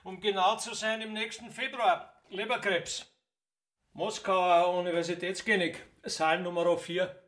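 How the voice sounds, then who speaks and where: Devil's henchman: high, slow, drawn-out taunts, gleeful radio-play villain. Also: level tone, fairly bright tone. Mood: gleeful, taunting. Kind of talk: radio drama acting.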